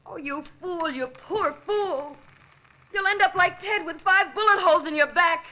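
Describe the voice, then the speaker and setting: A woman's voice: extremely high pitch, a little slow, bright, forceful tone, full character voice. An actress playing a frightened woman who pleads urgently in a 1950s radio drama. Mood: desperate. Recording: dull 1950s radio broadcast.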